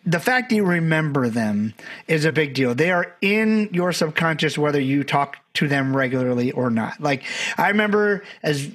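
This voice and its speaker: A man's voice: on the high side, animated, moderate pace, fairly bright tone. A man from Barbados, a documentary filmmaker, talking as a guest on a podcast and telling stories in a rambling, self-correcting way. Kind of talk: conversational podcast interview.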